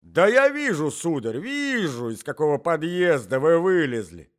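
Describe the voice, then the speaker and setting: A man voicing a fussy, indignant old husband in a story, shouting, repeating himself and sputtering. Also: on the high side, big pitch swings, normal speed, pitched above the performer's own voice.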